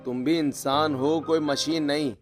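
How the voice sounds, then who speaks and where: A man's voice: fairly high pitch, steady tone, a little slow, faint music behind, natural voice. A man voicing a concerned, protective young farmer husband in a village story, speaking earnestly. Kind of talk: scripted story dialogue.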